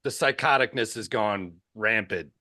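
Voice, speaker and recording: An American man with a slightly low voice co-hosts a podcast. He talks casually and conversationally, putting questions and comments to a guest. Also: normal speed, pushed and bright. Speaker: podcast co-host. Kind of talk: casual chat.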